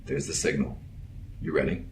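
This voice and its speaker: An actor playing a calm, capable bodyguard in an audio drama, terse and protective, with deadpan, clipped, formal speech. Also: male voice, rumbling low, normal speed, background noise. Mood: commanding.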